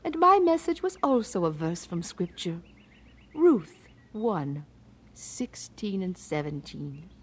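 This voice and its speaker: Husky, expressive old mother. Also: lowish voice, slow pace, heavily acted. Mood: tender, nostalgic. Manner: wistful, gently dramatic storytelling with sighs. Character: frail, fond old missionary mother in her eighties, reminiscing.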